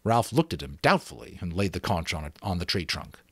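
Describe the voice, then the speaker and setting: A man reading a story aloud as an amateur narrator, dramatic and expressive, with deliberate phrasing. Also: deep voice, breathy edge, no room sound, studio quality.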